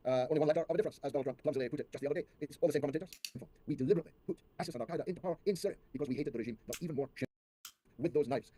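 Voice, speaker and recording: A man's voice: fairly high pitch, dark tone, slight background noise. An older man giving political commentary as an interview guest, speaking emphatically with rhetorical lists and questions.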